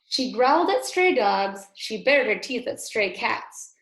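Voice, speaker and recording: Echoey, higher-pitched woman reading to children. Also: very animated, a little slow, fairly bright tone. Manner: theatrical, with emphasis and explanatory asides. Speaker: kindergarten teacher. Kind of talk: expressive storybook read-aloud for young children. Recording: echoey room.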